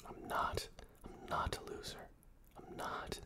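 A desperate, lonely man in his forties, acted by a man for a radio play. He pleads in a breathy, fragile whisper, repeating himself haltingly as if begging to be noticed. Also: pushed and bright. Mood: hurt, defensive. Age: 40s. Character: desperate, lonely forty-two-year-old man pleading to be seen.

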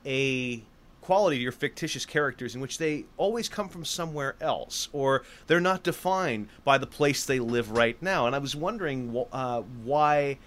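A man's voice: mid-range voice, expressive, moderate pace, fairly bright tone. A man hosting an interview, asking long questions that wander and hedge before reaching the point. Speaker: interviewer host.

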